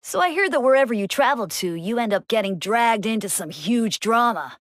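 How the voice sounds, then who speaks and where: A woman's voice: on the high side, lively intonation, fairly quick, no echo, studio quality, natural voice. A woman voicing a playful, mischievous young church deacon for a game, chatty, breezy and teasing. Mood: cheeky. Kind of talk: scripted game character acting.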